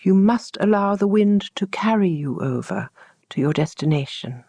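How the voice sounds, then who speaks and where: A woman voicing the mysterious desert sands in a storybook tale, calm, patient and certain as they instruct. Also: medium pitch, moderate pace, no room sound. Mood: calm, knowing.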